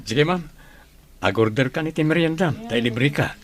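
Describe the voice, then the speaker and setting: A man's voice: a little high, animated, normal speed. A man playing a modest, patient and friendly young teacher in an audio drama. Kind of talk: Ilocano audio drama acting.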